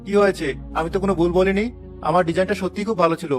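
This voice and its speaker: A man's voice: high-pitched, fairly even intonation, very fast, faint music behind, natural voice. A man voicing a defiant, boastful young weaver son who argues his case in a village cartoon. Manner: boastful, argumentative. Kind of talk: moral-story cartoon dialogue.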